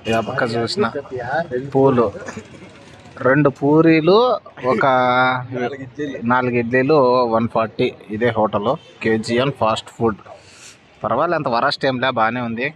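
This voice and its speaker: A man's voice: medium pitch, unhurried, long pauses. A man narrating a casual bus travel vlog, chatting to his viewers as friends. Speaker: travel vlogger. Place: on a bus and at bus stands, outdoors. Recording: phone audio with loud road noise.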